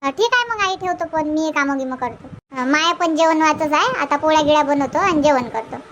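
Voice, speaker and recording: A woman's voice: sits high, lively intonation, fairly quick, fairly bright tone, muffled recording, a little hiss or hum. A woman voicing a young, fretful village housewife in a cartoon, chatty and rustic, with a Varhadi Marathi accent. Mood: hesitant, homely. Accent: Varhadi Marathi, Vidarbha. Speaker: cartoon character voice. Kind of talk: homely cartoon comedy dialogue.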